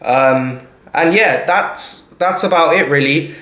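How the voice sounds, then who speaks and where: A man's voice: fairly high pitch, slow, bright, forceful tone. A man talking informally to viewers as a vlogger, in a hesitant, reassuring way, often checking in at the end of his points. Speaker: vlogger and marketing agency owner. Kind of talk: informal talk to camera. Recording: echoey, reverberant room.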